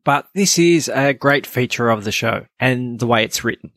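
A man with a gravelly, medium-pitched voice narrates a scripted review as a podcast host. The sound is dry, with no room echo. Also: moderate pace, fairly bright tone.

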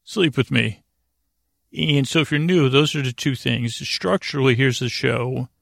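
A man hosting a sleep podcast in a low-key, meandering monologue, halting often and drifting off into digressions. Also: vocal fry, a bit bright, no echo, studio quality. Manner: meandering, halting, frequently digressing and losing his thread; low-key, soothing, deliberately unengaging. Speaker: podcast host.

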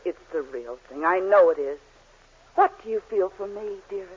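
Actress as a gushing, flirty gold-digger, swooping pitch. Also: female voice, animated, natural voice. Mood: seductive, gushing. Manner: coy, cooing, drawn-out endearments. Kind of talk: 1940s radio comedy acting. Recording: muffled, scratchy 1940s radio recording.

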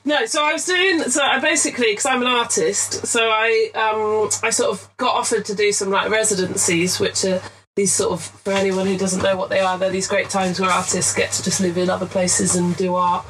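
A woman's voice: mid-range voice, steady tone, normal speed, fairly bright tone, slight background noise, rough recording. A woman, a guest artist in a casual podcast chat, telling rambling stories and laughing often. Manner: chatty, rambling storyteller who laughs often.

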